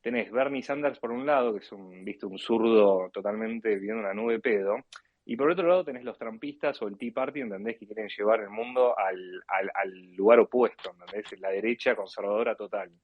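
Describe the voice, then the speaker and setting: A man's voice: fairly low pitch, brisk, dry recording. A man from Argentina with an Argentine accent speaks casually in a political discussion, often hesitating and rephrasing as he goes. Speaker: caller in a live audio discussion. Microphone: live online audio chat, close and dry. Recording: dull lo-fi online audio.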